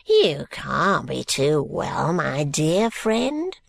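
A reader voicing a frail, wheezing old man in a storybook, slow and fawning. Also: mid-range voice, sing-song, vocal fry, dry recording, exaggerated voice. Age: over 80. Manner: fawning, ingratiating.

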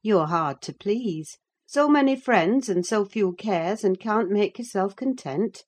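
A woman's voice: mid-range voice, expressive, slow pace, darkish tone, pitched above the performer's own voice. A woman voicing a no-nonsense, sardonic female servant in a dramatised audiobook, dry, sententious and scolding. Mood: dry, sulky. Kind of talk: dramatised audiobook reading of a classic novel.

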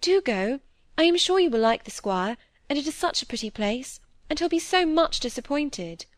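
A woman's voice: fairly high pitch, animated, moderate pace, no room sound, pitched above the performer's own voice, natural voice. A woman voicing a sweet, earnest, loyal young daughter in an audiobook. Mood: pleading. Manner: earnest, pleading.

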